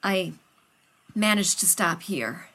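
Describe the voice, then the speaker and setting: A woman's voice: lively intonation, slow pace, bright, forceful tone, full character voice. A woman doing a strained, desperate soldier man. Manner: low, strained, clipped.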